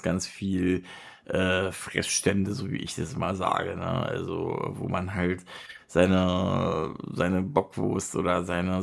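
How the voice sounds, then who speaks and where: A man from Berlin chatting casually in colloquial German, rambling and halting as he searches for words and gives his opinions. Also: deep voice, gravelly. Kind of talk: casual monologue, personal chat.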